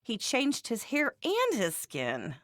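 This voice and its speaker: Lively, fretful anime mother, higher-pitched. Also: slow pace, no room sound, exaggerated voice. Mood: matter-of-fact. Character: fretful, scolding anime mother, blunt and protective. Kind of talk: animated game voice acting.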